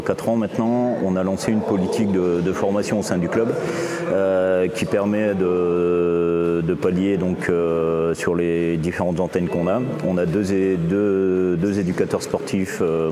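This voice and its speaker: A man who coaches at a boxing club answers interview questions, hesitating often with fillers and restarts. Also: sits low.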